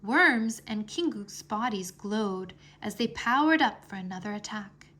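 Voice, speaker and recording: A woman reading a children's fantasy chapter book aloud as an expressive storyteller. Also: mid-range voice, a little slow. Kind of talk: expressive scripted narration.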